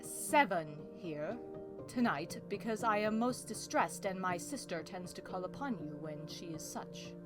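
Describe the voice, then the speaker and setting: A woman's voice: lowish voice, expressive, unhurried. A woman playing a worried, regal pony princess of the night in a cartoon comic dub, speaking in formal, archaic royal diction. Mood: grave, troubled. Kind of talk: fan comic dub acting. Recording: music behind the voice.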